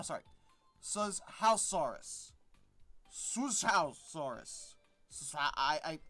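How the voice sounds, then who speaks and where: A woman's voice: on the low side, fairly bright tone. A woman hosting a casual unscripted commentary, with a sarcastic, emphatic, rambling manner that repeats words for emphasis. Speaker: video host and commentator.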